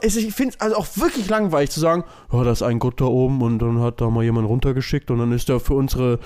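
A man's voice: medium pitch, a little mellow. A man co-hosting a podcast, chatting in a colloquial, slangy and comedic way. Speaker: podcast co-host. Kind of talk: casual comedic chat.

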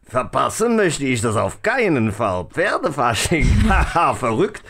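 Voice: mid-range voice, sing-song, measured pace, gravelly, fairly bright tone, husky, pitched below the performer's own voice, full character voice. A performer voicing a jovial, pompous aristocratic count and father in a hammed-up children's radio play, formal and chuckling. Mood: hearty, fatherly. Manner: pompous, chuckling, formal old-fashioned phrasing.

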